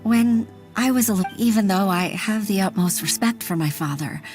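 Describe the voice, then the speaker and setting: A woman's voice: medium pitch, moderate pace, a little mellow, soft background music, natural voice. A woman playing a humble, grateful secretary and mother in an anime game, sincere, deferential and a little hesitant. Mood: hesitant, self-doubting. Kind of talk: anime game dialogue acting.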